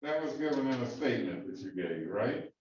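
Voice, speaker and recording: A man cross-examining a witness in a courtroom, questioning in a halting way with many fillers and restarts. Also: mid-range voice, very animated, a little mellow. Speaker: defense attorney questioning a witness. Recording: echoey, distant, dull courtroom audio.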